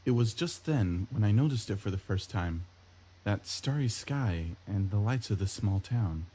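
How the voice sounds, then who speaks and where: A man's voice: lowish voice, animated, a little slow, dark tone, slight background noise. A man reading first-person visual novel narration aloud in a wry, reflective way. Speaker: let's play host reading aloud. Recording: guitar music behind the voice. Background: plucked guitar music.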